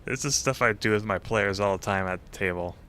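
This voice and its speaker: A man with a low but very bright, forward voice, hosting a relaxed gaming stream over a voice call. He tells stories with lively enthusiasm and breaks into laughter often. His tone is casual and friendly. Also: brisk, slight background noise. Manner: animated storytelling, laughs often. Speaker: streamer and host.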